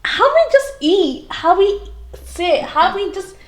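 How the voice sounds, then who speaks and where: High-voiced young woman in echoey room. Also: animated, slow. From Afghan Sikh family. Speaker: podcast co-host. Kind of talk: casual chat.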